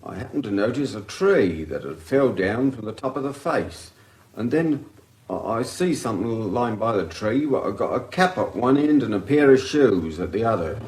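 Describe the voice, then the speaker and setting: A man playing a chatty working-class gravel pit labourer with a rural English accent in a radio drama, rambling in a folksy way. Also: mid-range voice, animated, normal speed, darkish tone, close to an everyday voice. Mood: rambling, startled. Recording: roomy echo.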